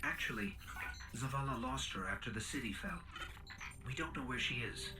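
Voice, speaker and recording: An actor playing a small, polite robot companion in a video game, earnest and worried, with precise diction. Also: male voice, medium pitch, very animated, moderate pace, creaky, distant mic, music in the background, rough recording. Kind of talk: video game cutscene acting.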